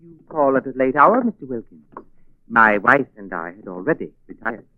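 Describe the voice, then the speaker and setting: An actor playing a guarded, suspicious schemer, stiffly formal, in a 1940s radio drama. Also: male voice, mid-range voice, lively intonation, moderate pace, a little hiss or hum, lightly acted. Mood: wary. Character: guarded, suspicious husband hiding a murderous scheme. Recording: muffled 1940s radio recording, phone-like band.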